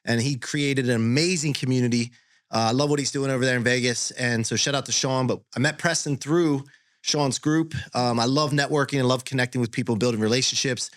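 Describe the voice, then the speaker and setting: An upbeat man hosts a casual podcast chat in a studio, encouraging his guest and rolling one thought into the next. His voice sits at an ordinary middle pitch for a man. The recording is close and dry, with no room sound. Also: fairly even intonation, fairly quick, no room sound. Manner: upbeat, encouraging, talks in long run-on stretches. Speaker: podcast host.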